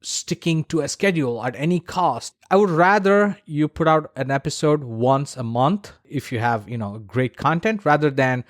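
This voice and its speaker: A man's voice: fairly high pitch, normal speed, few pauses, dry recording, clean studio sound. A man hosting a solo podcast, explaining practical advice in a conversational way and repeating points for emphasis. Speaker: podcast host.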